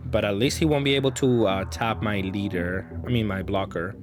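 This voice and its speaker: A man's voice: on the low side, moderate pace, over music. A man giving casual gameplay commentary, thinking aloud in a hesitant, self-correcting way. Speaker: gaming content creator commentating matches.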